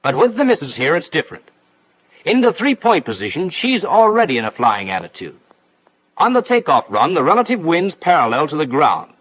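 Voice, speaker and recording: An actor playing a breezy instructor, narrating a 1940s pilot training film in a folksy, chummy way. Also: male voice, on the high side, wildly swooping pitch, brisk, fairly bright tone, full character voice, low-quality recording. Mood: folksy, playful. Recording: 1940s film soundtrack with faint noise.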